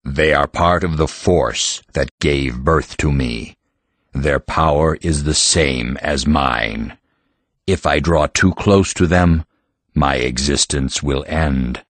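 An actor playing the solemn, ancient living heart of a mountain, speaking formally in a fantasy audiobook. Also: male voice, very deep voice, fairly bright tone, dry recording, heavily acted. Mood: grave. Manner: formal, measured, grave. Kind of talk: full-cast fantasy audiobook acting.

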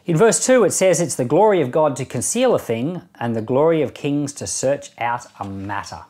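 A middle-aged man speaking as a pastor in a measured, explanatory way, reading and explaining scripture. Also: medium pitch, lively intonation, dark tone. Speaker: pastor, Bible podcast presenter. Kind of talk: Bible reading and informal commentary. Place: small indoor meeting room, seated.

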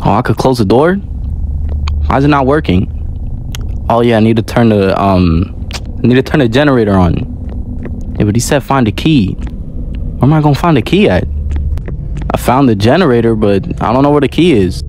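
Man, gaming host, lively intonation, casual slangy commentary, noisy recording. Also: medium pitch, moderate pace, pauses often. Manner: animated, slangy reactions.

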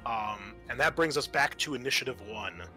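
A man acts as game master for a tabletop horror game, with music behind him. His voice is bright and forward at an average pitch. He improvises descriptions informally and swears now and then. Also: normal speed. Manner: informal, descriptive, salty language.

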